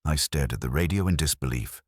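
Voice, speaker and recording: Very deep-voiced male horror storyteller. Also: fairly quick. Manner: dramatic, suspenseful storytelling. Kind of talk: scripted first-person narration of trucker horror tales.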